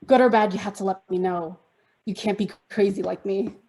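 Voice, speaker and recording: A young woman performs her spoken word poem over a video call, her voice mellow and dark-toned. She keeps her pitch level and even, speaking in an intimate, measured way that settles toward a hush. The pacing feels deliberate, each line given weight.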